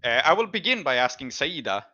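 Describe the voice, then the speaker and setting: Guy game mastering a tabletop rpg, really bright voice, thinks out loud, dry muffled recording. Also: mid-range voice, big pitch swings, a little fast, dry recording. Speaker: game master of a tabletop role-playing show. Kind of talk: improvised game narration and rules talk.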